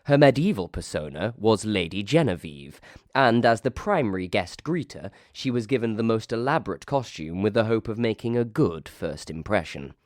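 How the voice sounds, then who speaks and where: A man's voice: fairly low pitch, expressive, moderate pace, dry recording. A man reading a ghost story aloud as scripted narration, with a wry, dry comic delivery.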